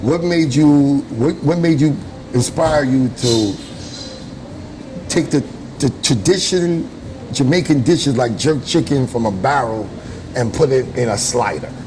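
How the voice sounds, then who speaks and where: A man hosting an informal interview, asking questions in a casual, slightly halting way. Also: medium pitch, background noise. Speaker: interviewer, host of a local food show.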